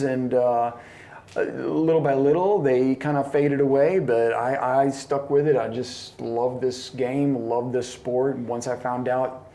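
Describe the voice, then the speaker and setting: A man's voice: fairly high pitch, mellow. Enthusiastic middle-aged man from Colombia. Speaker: disc golf coach. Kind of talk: casual chat.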